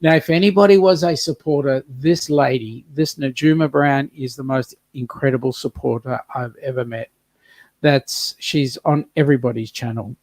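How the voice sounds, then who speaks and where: A man's voice: medium pitch, normal speed, darkish tone, no echo. A man, co-hosting a casual livestream and reading viewer comments aloud. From South Africa. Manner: chatty, reads out viewer comments. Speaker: co-host reading live chat.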